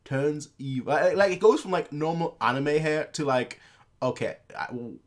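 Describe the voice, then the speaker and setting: A man's voice: on the high side, normal speed. A young man hosting a reaction video, talking in a loose, rambling way with lots of filler words. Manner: rambling, heavy use of filler words and restarts. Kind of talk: casual rambling chat.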